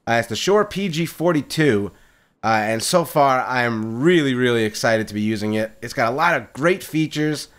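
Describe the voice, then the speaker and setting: A man hosting a gaming video, talking in a casual, upbeat way with a loud voice and lots of fillers, recorded on a condenser mic at home. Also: medium pitch, expressive, moderate pace. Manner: upbeat, chatty, frequent fillers and repeated words. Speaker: gaming channel host. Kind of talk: casual solo gameplay commentary. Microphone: condenser mic on a boom stand.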